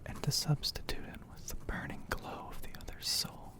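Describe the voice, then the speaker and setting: A man narrating an ASMR story in a breathy, hushed whisper, poetic and full of pauses, close to the microphone. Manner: slow, hushed, poetic delivery with frequent pauses.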